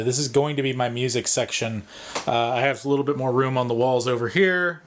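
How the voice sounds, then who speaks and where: A man's voice: mid-range voice, a little hiss or hum. Casual, rambling man vlogging. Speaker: vlogger, video editor. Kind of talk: casual vlog talk and tutorial.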